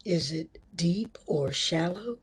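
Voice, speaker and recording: A woman leading a guided meditation as instructor, speaking gently in short, measured phrases with a breathy, husky tone. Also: lowish voice, lively intonation.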